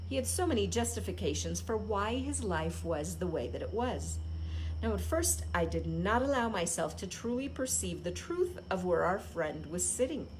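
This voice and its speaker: A woman reading a self-help book aloud as an impromptu live read-along host, phrasing it in short, deliberate groups. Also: mid-range voice, a little mellow, a little hiss or hum, low-quality recording.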